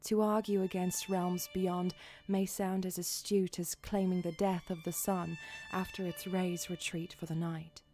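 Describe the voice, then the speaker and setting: A woman playing the grave, sorrowful last survivor of a fallen fantasy race, reading a confession in a measured, poetic way. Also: fairly low pitch, flat delivery, mellow. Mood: solemn. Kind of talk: solemn dramatic reading of a confession. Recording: musical score under the voice. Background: music.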